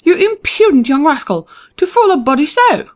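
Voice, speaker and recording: A man's voice: very high-pitched, big pitch swings, moderate pace, voice pushed up. A male narrator voicing a fussy, hot-tempered older farm aunt who scolds and exclaims in folksy speech. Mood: delighted.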